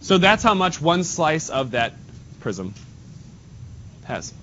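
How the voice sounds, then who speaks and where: A man's voice: big pitch swings, takes it slowly, pushed and bright. A male math teacher with a nasal voice, teaching a class in a casual way and talking to students while he works at the board. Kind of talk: classroom lesson. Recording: dull lo-fi sound, faint rumble.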